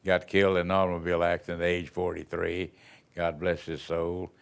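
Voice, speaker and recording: Gravelly older Texan man reminiscing. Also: low-pitched, monotone, a little fast. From Texas. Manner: rambling, folksy storytelling with chuckles. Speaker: retired band director. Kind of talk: reminiscing interview answers.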